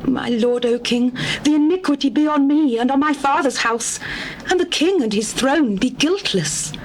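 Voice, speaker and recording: A pleading widow played by an actress addresses a king in formal, archaic language. Her voice is high and theatrical, the supplication of a mourner in a scripture drama. Faint noise sits behind the recording. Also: moderate pace, close to an everyday voice, rough recording. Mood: humble entreaty.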